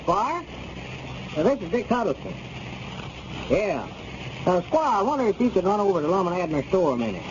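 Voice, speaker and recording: An actor playing a sensible, friendly small-town neighbor, plain-spoken and incredulous in an old radio comedy serial. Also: male voice, sits high, big pitch swings, normal speed, long pauses. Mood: sociable. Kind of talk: 1930s-40s radio comedy serial acting. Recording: noisy, muffled old radio recording.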